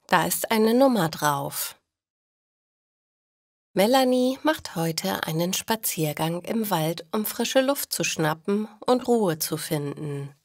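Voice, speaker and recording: Woman, lowish voice, very slow pace, careful enunciation, story narration for learners, dry close sound. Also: animated, no room sound.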